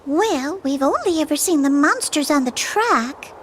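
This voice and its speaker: A woman voicing a kindly, proper female cartoon character in a video game, gentle, prim and polite. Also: sits high, expressive, measured pace, a little hiss or hum. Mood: explaining. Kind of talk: animated video game voice acting.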